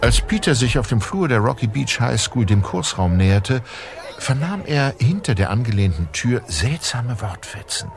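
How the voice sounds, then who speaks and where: A man's voice: mid-range voice, sing-song, moderate pace, music in the background. A man narrating an audio drama in a scripted storytelling style.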